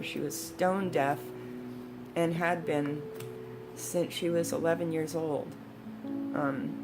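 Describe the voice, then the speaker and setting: Low-voiced older American woman reminiscing over music. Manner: reflective, conversational. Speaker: storytelling vlogger. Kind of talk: personal reminiscing, casual storytelling.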